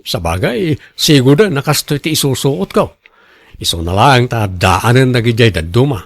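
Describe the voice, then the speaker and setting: An actor with an Ilocano accent playing a boastful, defensive husband who loves showing off, in a radio drama. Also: male voice, medium pitch, big pitch swings, normal speed, no room sound, clean studio sound. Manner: showy, bragging. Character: boastful, defensive husband and co-worker obsessed with costly branded clothes.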